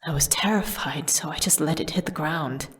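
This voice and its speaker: Casting: female actor as condemned witch for a fantasy ASMR drama, low register, breathy and measured, solemn, tragic storyteller. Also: close to an everyday voice. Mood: tense, regretful. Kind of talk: dramatic first-person ASMR audio storytelling.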